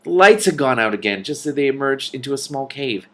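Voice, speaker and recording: A man's voice: mid-range voice, expressive, fairly quick. A man reading a storybook aloud and adding casual, exclamatory commentary as he goes. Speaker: reader and commentator.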